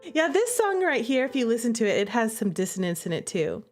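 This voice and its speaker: A woman's voice: a little high, a little fast. A woman hosting a livestream, chatting casually and playfully to her viewers as she comments on a game. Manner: playful, animated, chatty, addressing viewers directly. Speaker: streamer and visual novel creator hosting a playthrough. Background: music playing behind the voice.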